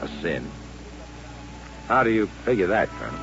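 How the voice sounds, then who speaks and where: An actor playing a dry, protective frontier doctor in an old-time western radio drama. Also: male voice, sits low, sing-song, normal speed, creaky, fairly bright tone, faint music behind, exaggerated voice. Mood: concerned. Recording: dull, faintly noisy old radio recording.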